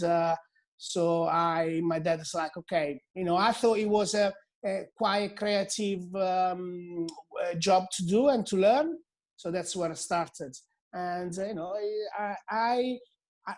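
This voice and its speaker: A middle-aged Italian man with an Italian accent, a hairdresser talking as a guest in a casual interview, hesitant with many fillers and restarts. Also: high-pitched. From Italy.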